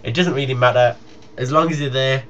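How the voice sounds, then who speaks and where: A man's voice: medium pitch, steady tone, brisk, a bit bright. Young man, muffled noisy recording. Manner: informal, rambling. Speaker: gaming YouTuber. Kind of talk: casual announcement to viewers. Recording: muffled lo-fi home recording with noise.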